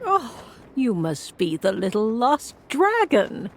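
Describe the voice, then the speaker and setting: A woman's voice: fairly high pitch, very animated, slow, slight background noise, exaggerated voice. A woman playing an elegant, enigmatic witch and prophetess in a fantasy game, grand and portentous. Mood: solemn.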